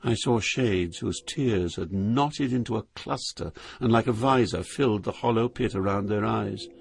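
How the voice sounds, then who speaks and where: An actor playing a grave, awestruck pilgrim, narrating an epic journey through Hell in a solemn, measured way. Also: male voice, lowish voice, gravelly, breathy edge. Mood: somber. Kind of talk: dramatic scripted narration of an epic poem. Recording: loud music under the voice.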